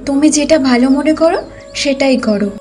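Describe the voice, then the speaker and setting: A woman voices a practical cartoon village wife with a high-pitched voice. She is a careful, sensible mother, with music playing behind. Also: brisk, darkish tone, lightly acted. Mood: caring. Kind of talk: Bengali cartoon voice acting.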